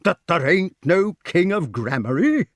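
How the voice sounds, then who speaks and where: A man's voice: mid-range voice, animated, normal speed, dry recording, studio quality, lightly acted. Man playing a pompous, grumbling old knight. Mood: indignant. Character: indignant, blustering old conservative knight.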